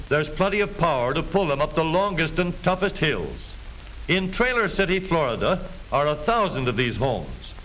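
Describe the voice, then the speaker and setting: A man's voice: fairly high pitch, fairly bright tone. An American man delivering scripted 1930s newsreel narration in a jaunty, folksy showman's manner. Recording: hissy, noisy 1930s film soundtrack.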